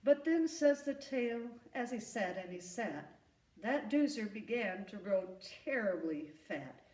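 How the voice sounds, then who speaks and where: A woman reading a rhyming children's story aloud in an expressive, emphatic storytelling manner. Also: medium pitch, measured pace. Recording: echoey room, distant from the mic.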